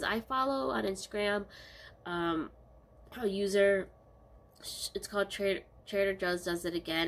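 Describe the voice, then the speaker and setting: A woman's voice: fairly low pitch, a little hiss or hum. Congested woman chatting to camera. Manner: rambling, many ums, relaxed diary talk. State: congested, stuffy-nosed from a cold. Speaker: vlogger. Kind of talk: casual vlog chat. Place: small bedroom, indoors.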